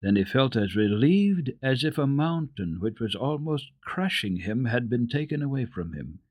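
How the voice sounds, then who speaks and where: A man reading a children's story aloud as a radio host, in a deliberate, expressive storytelling manner. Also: mid-range voice, dry recording, studio quality. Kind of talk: dramatic storybook narration.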